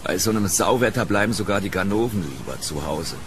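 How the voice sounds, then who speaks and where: A man acting as a weary policeman on night patrol in a horror radio play. His voice is fairly low and lively in its rise and fall, grumbling yet friendly, over clearly noisy rain. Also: fast talker, natural voice. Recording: noisy rain ambience behind voice.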